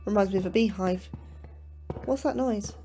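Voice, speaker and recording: An actress playing an anxious, apologetic astrobiologist full of questions in a sci-fi horror audio drama. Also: female voice, mid-range voice, moderate pace, warm tone, faint music behind, natural voice. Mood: inquisitive, uneasy.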